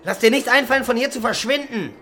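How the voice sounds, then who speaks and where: A man's voice: very high-pitched, fast, sharp tone, a little hiss or hum. A man playing a taunting, volatile young killer in a crime radio drama, aggressive and crude. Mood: agitated, aggressive.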